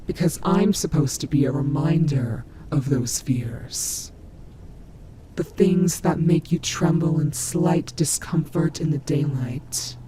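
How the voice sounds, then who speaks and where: Nightmare animatronic rabbit, played by a woman: taunting, theatrical, very drawn-out delivery, audio roleplay, clearly noisy recording with rumble. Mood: insistent. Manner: slow, mocking, theatrical drawl with whispered asides. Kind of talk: scripted audio roleplay acting.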